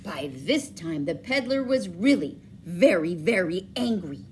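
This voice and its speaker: A woman reading a children's story aloud expressively, with dramatic emphasis and drawn-out words. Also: fairly low pitch, expressive, a little hiss or hum. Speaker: storybook reader for schoolchildren.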